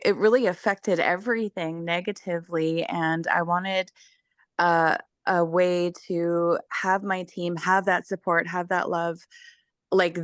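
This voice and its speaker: A woman's voice: mid-range voice, a bit bright, dry recording, studio quality. A woman who is losing her voice, sharing her story as a network marketing guest on a video call.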